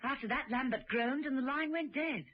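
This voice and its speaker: An actress playing a brisk, clever, self-assured secret agent in a radio drama, composed and knowing. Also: female voice, high voice, close to an everyday voice. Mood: informative. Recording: dull, noisy old radio recording.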